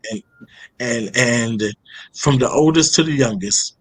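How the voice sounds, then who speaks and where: A man's voice: mid-range voice, unhurried, slightly rough, poor audio. Laid-back, slangy male podcast host. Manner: laid-back, slangy, repeats words as he starts sentences. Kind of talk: casual podcast conversation.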